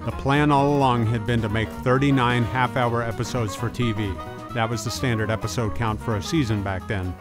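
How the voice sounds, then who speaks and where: A man's voice: mid-range voice, steady tone, normal speed. A man reading scripted documentary narration in informal, conversational wording. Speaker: narrator and presenter. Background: guitar music.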